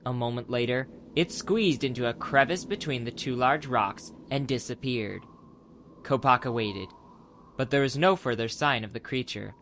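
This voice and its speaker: A man's voice: mid-range voice, moderate pace, fairly bright tone, slight background noise. A man narrating a dramatized adventure audiobook from a script as the storyteller.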